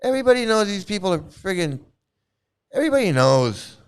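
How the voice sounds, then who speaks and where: Middle-aged guy ranting, swears a lot, sneering, kinda high voice, smooth and clear. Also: sing-song, a little fast. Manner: profane, scornful, repeats words. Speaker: commentary channel host. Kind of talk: casual ranting commentary.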